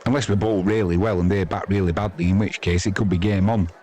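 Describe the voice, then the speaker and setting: A man's voice: sits low, steady tone, quick pace, warm tone. A man with an English accent hosting a live sports stream, giving casual, rambling, matey cricket commentary off the cuff. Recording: crowd noise behind the voice.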